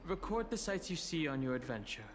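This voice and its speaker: A calm, reserved young scholar. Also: male voice, on the high side, fairly quick. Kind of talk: video game voice acting. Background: game music.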